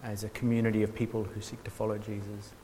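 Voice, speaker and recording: A man's voice: on the low side, monotone, quick pace, mellow, a little hiss or hum. Man from New lecturing in a reverberant hall. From New Zealand. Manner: hesitant, many ums and restarts, dry self-mocking humour. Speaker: workshop speaker on theology. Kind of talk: informal lecture.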